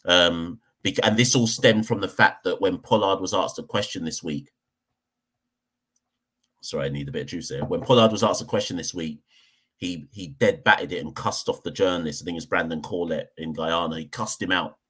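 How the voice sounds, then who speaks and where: A man's voice: low-pitched, normal speed, fairly bright tone, husky. A man from Jamaica, hosting a cricket podcast and giving a heated opinion rant, hammering points home by repeating them. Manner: emphatic, repeats points for stress.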